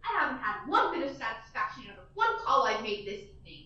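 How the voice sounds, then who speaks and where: A woman's voice: high-pitched, big pitch swings, fast talker, bright, forceful tone, lo-fi sound, heavily acted. A student actress playing a nervous, demanding bedridden woman who stammers and frets, recorded from far off in an echoey room. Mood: indignant. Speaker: student actor. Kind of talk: school radio play acting.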